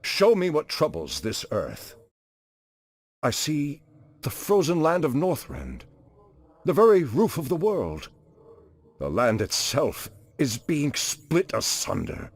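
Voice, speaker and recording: A man voicing an ancient, solemn and commanding druid leader in a fantasy video game, with a grave, formal, theatrical delivery and a gravelly, husky voice. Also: fairly low pitch, no room sound, full character voice. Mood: imploring, solemn.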